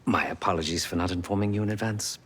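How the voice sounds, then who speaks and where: An actor playing a fussy, courteous, sly tech expert in a video game, speaking formally and theatrically with precise diction. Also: male voice, deep voice, quick pace, fairly bright tone, husky. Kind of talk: video game cutscene acting.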